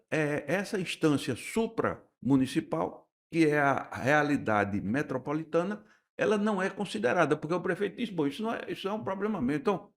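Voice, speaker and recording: An older man from Recife with a Pernambuco accent, a guest architect and urban planner, explaining at length in a radio interview with frequent pauses and restarts. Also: on the high side. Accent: Pernambuco Portuguese. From Recife, Brazil. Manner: measured, explanatory, hesitant with restarts.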